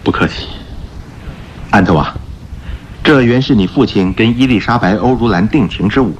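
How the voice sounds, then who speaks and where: An actor playing a confident, playful gentleman-thief detective in a radio drama, explaining his deductions theatrically. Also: on the low side, normal speed, slight background noise, natural voice. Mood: courteous, revealing. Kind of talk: Mandarin radio drama acting. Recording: dull radio recording with background music.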